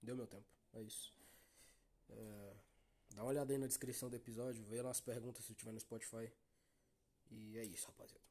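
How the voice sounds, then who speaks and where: A young man with a Brazilian Portuguese accent talks alone into his podcast mic, weary and downcast. His voice is dark and mellow, with a husky edge. He swears freely and keeps calling out to his listeners. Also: fairly low pitch, little pitch movement, fairly quick, pauses often. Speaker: podcast host. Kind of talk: solo confessional podcast monologue.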